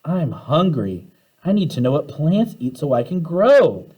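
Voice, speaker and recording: A man's voice: on the high side, sing-song, unhurried, husky, lo-fi sound, voice pushed up, exaggerated voice. A man voicing an anxious, hungry little schoolgirl in a children's storybook, pleading and dramatic. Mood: hungry, whiny. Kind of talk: children's storybook read-aloud.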